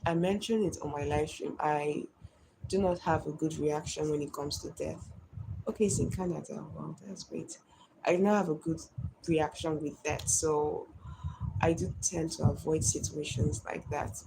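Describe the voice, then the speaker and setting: A woman's voice: low voice, vocal fry, warm tone, a little hiss or hum, rough recording. A woman in her twenties chatting on a live stream, reading and answering viewer comments one by one. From Nigeria. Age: 20s.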